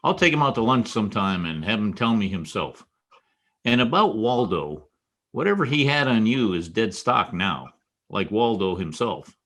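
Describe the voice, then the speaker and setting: A man's voice: fairly low pitch, sing-song, moderate pace, fairly bright tone, full character voice. An American man playing a tough, wry private detective in a 1940s radio noir style, clipped and slangy. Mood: tough, impatient. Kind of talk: 1940s radio noir acting with first-person narration.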